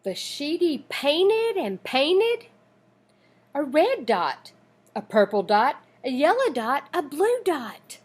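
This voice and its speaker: A woman reading a children's picture book aloud in an expressive, deliberate, dramatic storytelling manner. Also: high voice.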